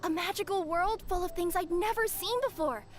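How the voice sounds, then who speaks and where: A woman's voice: extremely high pitch, brisk. An actress voicing a perky, friendly young cat-girl courier in an anime-style video game, bubbly and chatty. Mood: delighted. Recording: game music behind the voice.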